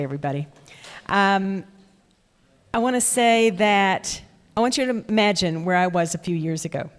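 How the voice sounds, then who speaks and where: An older woman speaking at a club meeting, telling her personal story casually and introducing a guest speaker. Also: mid-range voice. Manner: chatty storyteller, laughs at her own story. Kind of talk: casual talk with personal anecdotes. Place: indoor meeting room. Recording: long reverberant room sound.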